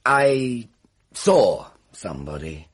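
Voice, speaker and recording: A man's voice: medium pitch, very animated, takes it slowly, husky, exaggerated voice. An actor playing an evasive, theatrical male murder witness in a radio drama, with an arch, hesitant manner. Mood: guarded, reluctant.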